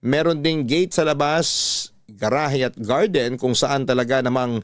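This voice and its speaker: A man's voice: medium pitch, unhurried, no echo, natural voice. A man voicing a confiding young mother narrator. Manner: earnest, confiding storytelling. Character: young mother narrating her own letter. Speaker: letter narrator. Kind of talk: radio drama narration. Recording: dry studio voice over music.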